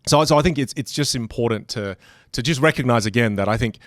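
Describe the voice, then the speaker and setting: A man's voice: mid-range voice, fast talker, no room sound, studio quality. A man with an Australian accent that leans British, a podcast guest talking casually and animatedly about communication. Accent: Australian, leaning British. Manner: animated, acts out other voices. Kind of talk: casual conversation.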